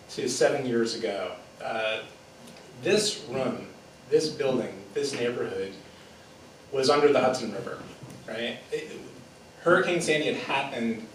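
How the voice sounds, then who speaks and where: A man's voice: medium pitch, slight background noise. Male candidate, earnest filler-laden speech, distant, reverberant hall. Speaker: political candidate. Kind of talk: opening statement at a debate. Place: theater stage before a live audience. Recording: echoey theater from far off.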